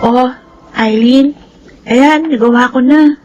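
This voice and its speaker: A woman playing a kind, curious young schoolgirl in a Filipino radio drama, expressive and questioning, with a husky voice. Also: sits high, slow, lo-fi sound, soft background music.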